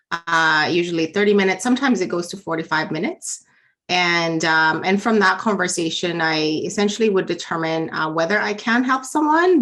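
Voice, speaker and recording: A woman's voice: lowish voice, fairly bright tone. A woman in her thirties from the Philippines, a business coach answering interview questions in a friendly, casual way with many fillers. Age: 30s. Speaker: business leadership coach, guest.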